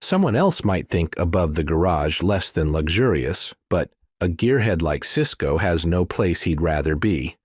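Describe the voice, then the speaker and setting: A man's voice: sits low, expressive, a little slow, few pauses, a little mellow, breathy edge, no echo, studio quality. A man reading scripted thriller narration as an audiobook narrator.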